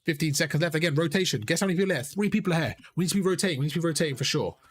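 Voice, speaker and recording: Young man, gaming coach, rapid casual chatter, slightly high pitch, voice worn out. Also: breathy edge. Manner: chatty, repeats phrases, addresses chat as bro. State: strained voice. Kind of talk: casual live-stream coaching.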